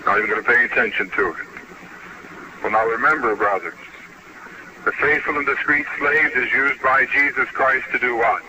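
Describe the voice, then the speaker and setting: A man's voice: medium pitch, normal speed, pauses often. Male preacher on muffled, very noisy tape. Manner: emphatic, exhorting. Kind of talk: exhortatory sermon.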